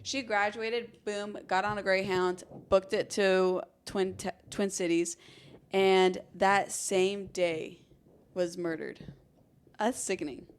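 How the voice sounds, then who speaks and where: Young american woman doing true crime podcast, chatty and informal, normal pitch voice. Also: fairly even intonation, slow, clean tone. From Arizona, United States. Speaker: podcast host. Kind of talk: conversational true crime storytelling.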